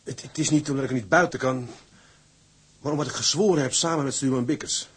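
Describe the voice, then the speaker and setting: An actor playing a troubled, stubborn ship's mate and husband in a radio drama, earnest and halting. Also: male voice, medium pitch, slightly rough. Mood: stubborn, defensive.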